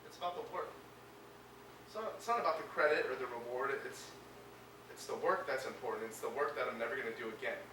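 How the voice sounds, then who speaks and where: An actor playing a bitter, sarcastic hospital patient, a paralysed sculptor, middle-aged. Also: male voice, medium pitch, fast talker, noisy background, lightly acted. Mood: bitter, angry. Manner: sarcastic, biting. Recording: roomy film sound from a distance.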